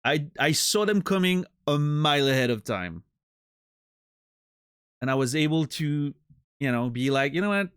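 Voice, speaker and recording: A man's voice: fairly high pitch, lively intonation, normal speed, pauses often, fairly bright tone, smooth, no echo, studio quality. A man streaming and chatting casually with his viewers, joking and rambling with many restarts. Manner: rambling, self-interrupting, joking. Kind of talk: casual chat with live chat.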